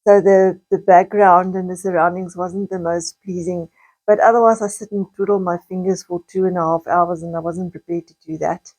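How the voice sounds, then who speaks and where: A woman with a South African accent and a slightly croaky voice, chatting casually in a rambling vlog monologue, repeating words and drifting into asides. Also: lowish voice, steady tone, normal speed, a little mellow, low-quality recording. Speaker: video blogger.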